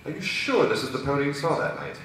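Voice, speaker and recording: A man's voice: a little high, animated, quick pace, a bit bright, very echoey, distant mic, a little hiss or hum, pitched above the performer's own voice. A man voicing a prim, anxious female pony lawyer with refined, formal and indignant diction at a script reading. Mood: questioning.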